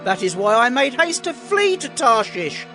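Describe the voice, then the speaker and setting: An actor playing an anguished male prophet in a dramatized scripture reading, praying in a solemn, impassioned, drawn-out way. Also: very high-pitched, sing-song, sharp tone, heavily acted. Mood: bitter pleading. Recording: music under the voice.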